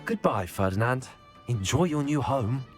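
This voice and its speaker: An actor playing a level-headed young student in a video game, polite and composed with a dry, teasing edge. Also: male voice, mid-range voice, animated, normal speed, faint music behind, close to an everyday voice. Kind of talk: video game character acting.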